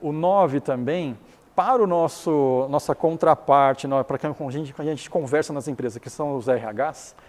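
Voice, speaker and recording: A man's voice: a little high, normal speed, darkish tone, long reverb tail. A middle-aged man from Taiwan whose first language is Chinese, explaining his business in an interview, often restarting and repeating words.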